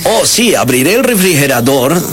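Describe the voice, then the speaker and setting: A man's voice: fairly high pitch, very animated, moderate pace, a bit bright, soft background music. A man from Córdoba with a Cordobés Argentine accent, hosting a casual radio interview in a jokey, teasing way full of regional slang. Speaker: radio host. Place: radio studio.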